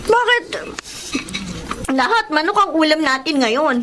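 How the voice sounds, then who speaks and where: Radio drama role: young breadwinner daughter, feisty, sharp-tongued, high and very animated. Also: female voice, unhurried, slight background noise, heavily acted. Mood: feisty, irritated. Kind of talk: Filipino radio drama acting.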